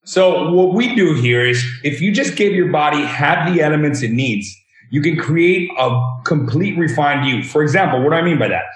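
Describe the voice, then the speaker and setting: Slightly high-voiced man in his thirties, echoey. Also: expressive, normal speed. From Miami, Florida; born in Venezuela. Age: 30s. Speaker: guest, age management practitioner. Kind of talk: interview explanation. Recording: roomy, echoey sound.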